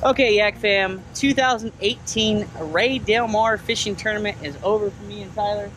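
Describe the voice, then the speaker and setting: A man in his thirties talking casually to an action camera as he fishes from a kayak outdoors, chatty and excited as he coaxes a fish in. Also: high voice, moderate pace, faint music behind, low-quality recording. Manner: chatty, excited coaxing while reeling in fish. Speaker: kayak fishing vlogger. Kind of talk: casual narration while fishing. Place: outdoors on a kayak and at a waterside lot. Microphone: action camera mounted on the kayak and handheld.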